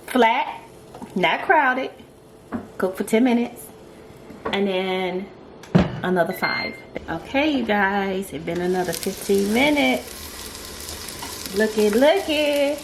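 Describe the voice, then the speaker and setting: A woman hosting a casual home cooking vlog, her voice stuffy and congested, chatting in an unhurried way with lots of fillers. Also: mid-range voice, expressive, background noise. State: stuffy, congested voice after a sore throat. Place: home kitchen.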